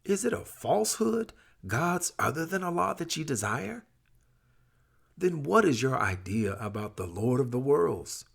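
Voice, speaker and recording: Male reader as devout prophet, husky, declamatory, impassioned, challenging idol worshippers in formal scripture language. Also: on the high side, sing-song, a little slow, voice pushed up, exaggerated voice.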